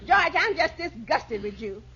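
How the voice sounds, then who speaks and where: A nagging, indignant wife in a 1940s radio comedy, high-voiced and swooping. She is suspicious and sharp-tongued, heard on a muffled, noisy recording with an audience behind her. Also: very animated, a little slow, exaggerated voice. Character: scolding, suspicious, disgusted wife. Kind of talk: 1940s radio sitcom acting.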